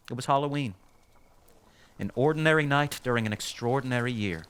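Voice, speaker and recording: An actor voices a male public radio host with a mid-pitched, solemn delivery. He narrates a documentary with measured, dramatic pauses over music. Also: fairly bright tone, close to an everyday voice. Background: music under the voice.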